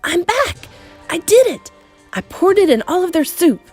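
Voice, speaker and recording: A woman voicing an excited, triumphant little mouse child in a storybook reading, breathless with eagerness. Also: extremely high pitch, moderate pace, soft background music, pitched above the performer's own voice. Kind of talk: acted audiobook storytelling.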